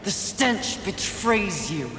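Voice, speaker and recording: A woman's voice: medium pitch, big pitch swings, slow pace, background noise. An actress playing a fierce, proud, impatient female horseman of the apocalypse in a fantasy game, with a haughty, defiant manner. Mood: defiant, angry. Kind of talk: dramatic fantasy game cutscene acting. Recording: echoing reverb, music underneath.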